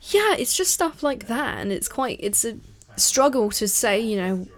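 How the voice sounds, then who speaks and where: A woman's voice: a little high, very animated, a little slow. A young woman in her late teens talking in an earnest, ranting way. She stops and restarts often and leans on fillers while making her point in a casual discussion. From half Thai, half British. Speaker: discussion participant. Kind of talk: casual conversation.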